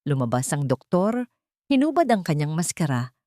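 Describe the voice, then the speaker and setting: A woman narrating a dramatic story in a solemn, measured way. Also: low voice, big pitch swings, warm tone, no echo, clean studio sound. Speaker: audiobook narrator.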